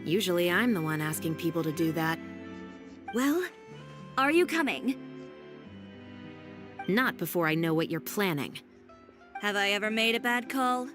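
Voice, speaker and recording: A woman playing a cool, self-assured young woman with a sharp tongue in a video game, dry and composed. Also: medium pitch, expressive, unhurried, long pauses, music in the background, close to an everyday voice.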